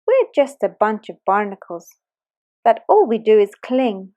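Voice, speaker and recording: A woman's voice: mid-range voice, big pitch swings, unhurried, narrow, tinny audio. A woman reading aloud, voicing a cheerful bunch of barnacles in a chirpy, sing-song way.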